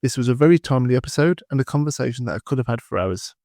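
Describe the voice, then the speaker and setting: Casting: young English male host, mid-pitched, relaxed and rambling, lots of "uh" and "kind of". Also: rapid-fire, dry recording, clean studio sound. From England. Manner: casual, rambling questions with fillers. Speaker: podcast host. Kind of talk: podcast interview.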